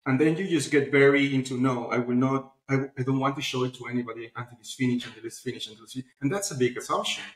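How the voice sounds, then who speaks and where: A man's voice: medium pitch, quick pace, hardly any pauses. Man in an echoey room, chatting casually. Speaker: interview guest, publishing platform founder. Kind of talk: casual conversational interview answers. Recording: roomy, echoey sound.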